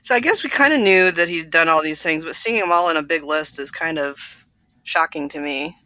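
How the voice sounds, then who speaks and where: A woman's voice: low voice, fairly quick, bright, forceful tone, no echo. A woman co-hosting a podcast, explaining her reasoning in a casual book discussion. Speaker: podcast co-host.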